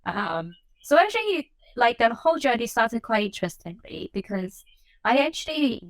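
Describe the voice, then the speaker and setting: A woman's voice: a little high, very animated, moderate pace, no room sound, poor audio. Woman from Hong Kong, podcast guest, reflective, fillers, dry close sound. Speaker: assistant professor, podcast guest. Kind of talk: conversational interview answers.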